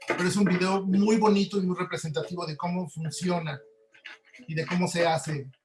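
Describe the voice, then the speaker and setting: A man giving an informal, halting lecture, explaining as he goes. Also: sits high, fairly even intonation. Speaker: audio producer giving a talk. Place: indoor room, online webinar. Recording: echoey room on webinar audio.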